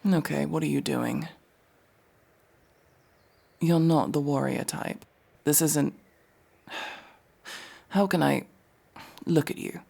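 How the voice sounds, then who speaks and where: A man's voice: fairly high pitch, takes it slowly, creaky, long pauses, darkish tone, full character voice. A man playing an aloof, prickly elf mercenary in a fantasy ASMR roleplay, speaking in a curt, sardonic, exasperated manner. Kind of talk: ASMR fantasy roleplay, acted monologue to listener.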